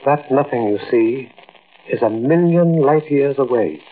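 An actor playing a stern, cryptic astronomer in a 1940s radio drama, commanding and deliberate. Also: male voice, medium pitch, lively intonation. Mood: cryptic, ominous. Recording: muffled, noisy old radio recording.

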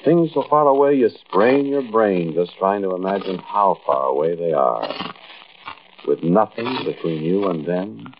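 An actor playing an intense, haunted American man who confides an eerie tale straight to the listener in a 1940s radio monologue, with emphatic pauses. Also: low voice. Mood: confiding, uneasy. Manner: conversational, addresses listener directly, emphatic pauses. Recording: muffled, noisy old radio recording.

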